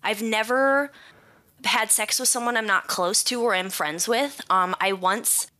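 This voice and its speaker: A woman's voice: mid-range voice, unhurried, pushed and bright. A young woman with a Minnesotan accent, telling a personal story bluntly on a group voice chat, swearing often. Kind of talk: casual chat.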